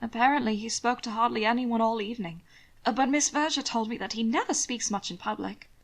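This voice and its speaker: A woman voicing a gentle, reasonable young lady in a period audiobook, kindly and mildly reproving. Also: on the high side, a little fast.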